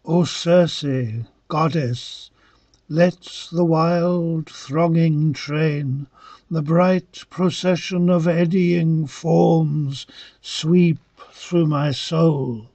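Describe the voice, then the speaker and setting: A man reciting dramatic poetry as an awed, devout young reveller of ancient Greece, solemn and declamatory in halting phrases. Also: fairly high pitch, steady tone, darkish tone, dry recording, full character voice. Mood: rapt, dreamy. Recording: dull lo-fi, dry close recording.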